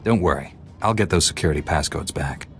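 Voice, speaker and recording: Deep, composed heroic knight. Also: male voice, faint music behind. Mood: assured. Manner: composed, measured, self-assured. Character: calm, resolute male Jedi knight hero. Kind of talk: video game hero dialogue.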